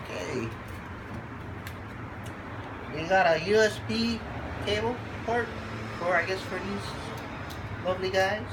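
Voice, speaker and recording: A congested vlogger with a cold, unboxing a computer in a laid-back, halting way and talking to the dogs in between. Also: mid-range voice, background noise. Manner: halting, lots of fillers, chats to the dogs. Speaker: channel host unboxing a computer. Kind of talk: casual unboxing vlog.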